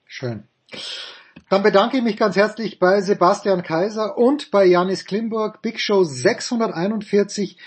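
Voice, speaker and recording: A man's voice: high-pitched, slightly rough. A man with an Austrian accent hosting a casual sports talk show, joking and teasing his panel. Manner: jokey, teasing, quick moderator. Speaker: host.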